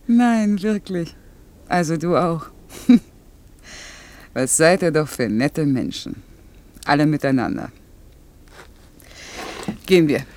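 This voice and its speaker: An actress playing a proud woman in a radio drama, talking in a cool, brisk and faintly mocking way. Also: lowish voice, big pitch swings, a little mellow, exaggerated voice. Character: proud woman coolly parting from a former lover. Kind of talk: naturalistic German radio drama acting.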